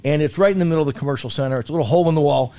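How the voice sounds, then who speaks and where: A man's voice: a little high, very fast, mellow. Male food commentator for casual radio chat, self-deprecating and jokey, repeats phrases for emphasis. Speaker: food commentator on a talk show.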